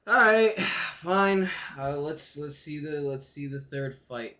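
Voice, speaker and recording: Brief: male podcast co-host, slightly high voice, rambling jokey banter. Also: takes it slowly. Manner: rambling, joking, animated banter. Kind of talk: casual chat about fights.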